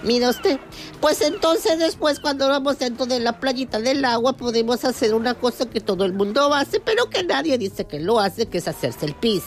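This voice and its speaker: A woman's voice: a little high, lively intonation, normal speed, faint music behind, natural voice. A woman playing a sugary Colombian beach coach. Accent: Colombian Spanish, put on. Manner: sweet, fussy, full of diminutives. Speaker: comedy guest character. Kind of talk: comic radio sketch.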